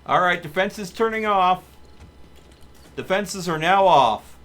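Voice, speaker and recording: A man's voice: high-pitched, animated, a little slow, a bit bright. A man hosting a multiplayer game stream with casual live commentary. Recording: faint background noise.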